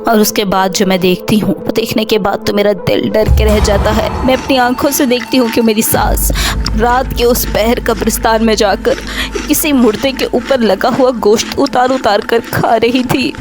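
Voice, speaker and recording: A woman's voice: fairly high pitch, fairly even intonation, normal speed, natural voice. A woman playing a fearful young wife who narrates her own horror story in a dramatic scripted style. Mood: fearful storytelling. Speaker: first-person story narrator. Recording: background music under voice.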